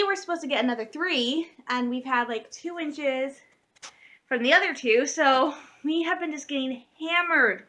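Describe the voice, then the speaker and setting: A thirty-year-old woman talks to her camera from home with a high, bright voice. She rambles in an easy, chatty way, full of fillers and quick laughs. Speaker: vlogger. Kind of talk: casual chat to camera.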